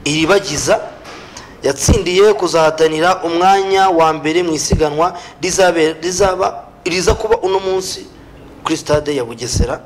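Very quick-talking young Rwandan man. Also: a little high, slight background noise. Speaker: sports show presenter. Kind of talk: sports talk and news round-up. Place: small TV studio, indoors.

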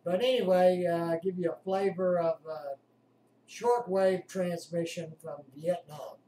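An older man chatting casually and haltingly to camera about his hobby, picked up by a webcam in a small home room. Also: high voice, steady tone, mellow. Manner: halting, unhurried. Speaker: amateur radio operator, vlogger. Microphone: webcam at a distance. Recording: roomy distant webcam sound with noise.

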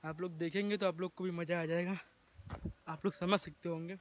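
A man's voice: high voice, steady tone, brisk. A young man vlogging outdoors at a farm house, joking and talking straight to a phone camera held at arm's length. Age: young adult. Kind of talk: casual vlog narration. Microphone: phone selfie camera at arm's length.

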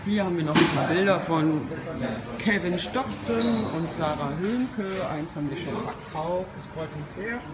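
A man's voice: fairly high pitch, normal speed. A man walking through a busy indoor exhibition hall with a handheld phone, chatting and introducing the people at his booth. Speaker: exhibitor filming his trade fair booth. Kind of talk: casual walk-through narration. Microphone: handheld phone camera. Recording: loud hall ambience.

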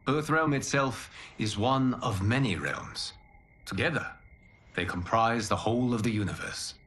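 An actor playing a calm, wise male mentor in a video game cutscene, speaking in a solemn, measured way. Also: medium pitch. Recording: game score behind the voice.

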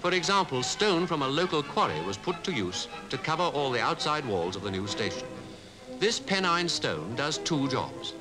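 A man with a British Received Pronunciation accent reading scripted 1950s newsreel narration in a formal, measured way. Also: a little high, very animated, over music, poor audio. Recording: 1950s newsreel archive soundtrack.

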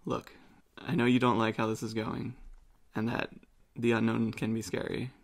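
A woman's voice: extremely low pitch, level tone, moderate pace. A woman playing a tabletop game, chatting and role-playing in a casual, playful way.

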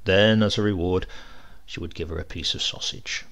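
Gravelly male storyteller. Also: deep voice, fairly even intonation, fairly bright tone. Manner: measured, dramatic storytelling. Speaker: narrator. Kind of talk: audiobook reading of a classic short story.

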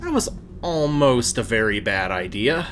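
Casting: female let's player, very low voice, highly animated, playful and casual, thinking aloud. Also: a little slow, a little hiss or hum. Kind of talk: casual let's play commentary.

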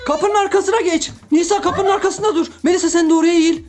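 A woman hosting a children's play video as a parent, in an excited, play-acting style, exclaiming and repeating short commands. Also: very high voice, quick pace, heavy background noise.

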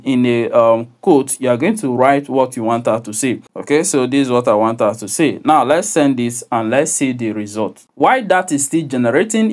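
A man, hosting a software tutorial in an instructive, deliberate manner. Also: medium pitch. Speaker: tutorial host.

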